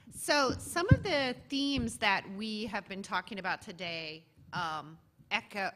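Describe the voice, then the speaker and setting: A woman's voice: mid-range voice, a bit bright. A woman moderating a panel and presenting research in a conversational way, with frequent fillers.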